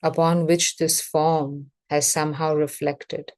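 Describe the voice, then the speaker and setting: Low, slow-spoken woman guiding meditation. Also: level tone, a little mellow, no room sound. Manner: measured, pausing between short phrases. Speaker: guided meditation facilitator. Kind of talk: guided meditation.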